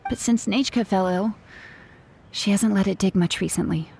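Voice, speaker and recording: A woman's voice: mid-range voice, normal speed, gravelly, darkish tone, a little hiss or hum, close to an everyday voice. A woman playing a composed, matter-of-fact young adventurer in a video game. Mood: puzzled, thoughtful. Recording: faint music under the voice.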